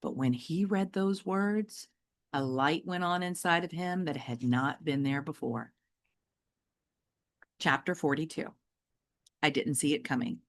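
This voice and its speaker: A woman reading a children's novel aloud like an audiobook, with deliberate, emphatic and varied phrasing. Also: low voice. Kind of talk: expressive audiobook-style storytelling for children.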